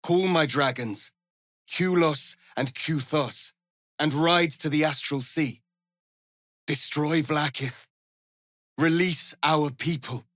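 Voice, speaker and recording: A man playing a proud, solemn alien warrior prince in a fantasy video game, speaking in a formal, commanding, deliberate way. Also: fairly high pitch, a bit bright, no room sound, studio quality. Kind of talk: dramatic fantasy game acting.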